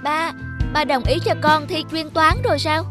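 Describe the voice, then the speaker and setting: Cartoon son role, female actor: high-voiced schoolboy, earnest, pleading, music underneath. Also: fairly even intonation, normal speed, lightly acted. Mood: hopeful. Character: earnest, apologetic schoolboy son, eager to please. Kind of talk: animated moral drama acting.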